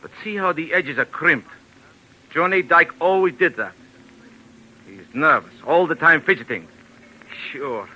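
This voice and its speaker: Very sharp, forceful, surly waterfront boss. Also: male voice, on the high side, animated, a little slow, long pauses, full character voice, rough recording. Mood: suspicious, aggrieved. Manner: blunt, gruff, bullying, broken tough-guy grammar. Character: heavyset, surly, hot-tempered waterfront boss. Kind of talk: 1940s radio drama acting. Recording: 1940s radio recording.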